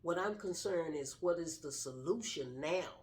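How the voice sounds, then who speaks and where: Middle aged lady just talking about her life, kinda deep voice, earnest and straight to the point. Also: lively intonation, moderate pace. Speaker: guest telling personal story, wife of the host. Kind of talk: casual personal testimony.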